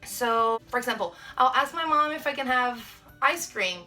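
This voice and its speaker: A woman's voice: fairly high pitch, lively intonation, normal speed, pushed and bright, soft background music, low-quality recording. A young woman in her twenties with a Brazilian Portuguese accent, chatting casually to camera in her bedroom, with lots of ums and likes. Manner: chatty, many ums and likes, gestures a lot. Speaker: vlogger. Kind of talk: casual to-camera vlog answering tag questions. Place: small bedroom indoors. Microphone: camera mic at close framing.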